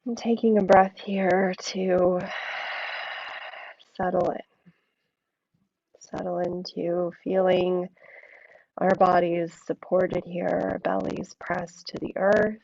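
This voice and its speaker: A woman with a low, mellow, deeply gravelly voice guides a yin yoga class at an unhurried crawl. She sounds hoarse and a little froggy, her cues soft and drawn out. The recording is dull and lo-fi. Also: little pitch movement, dry recording. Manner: slow, soothing, drawn-out cues. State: hoarse from an allergy attack.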